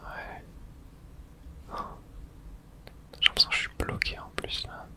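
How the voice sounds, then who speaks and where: Whispering ASMR gamer. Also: measured pace. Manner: whispering throughout; casual swearing, muttering to self. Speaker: video game streamer. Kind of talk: whispered ASMR game playthrough.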